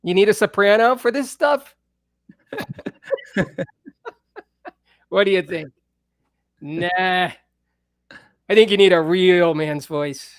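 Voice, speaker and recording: A man with a high speaking voice in a casual, playful, teasing chat as he hosts another musician. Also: slow, long pauses, no echo, clean studio sound.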